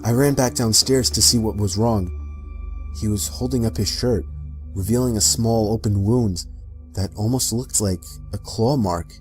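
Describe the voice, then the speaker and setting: A man reading a first-person horror story in a steady, matter-of-fact way. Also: lowish voice, unhurried, soft background music. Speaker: narrator.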